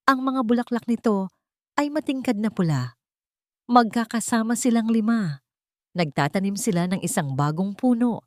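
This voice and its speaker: A woman's voice: mid-range voice, a little mellow, no echo, studio quality. A woman narrating a scripted dramatic audiobook story.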